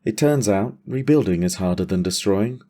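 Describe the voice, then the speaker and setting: Man doing a weary war vet dragon rider, mellow voice, reflective n a bit wry, fantasy audio drama. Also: lowish voice, animated, moderate pace. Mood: weary, resolute. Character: battle-worn male dragon rider, weary but hopeful father.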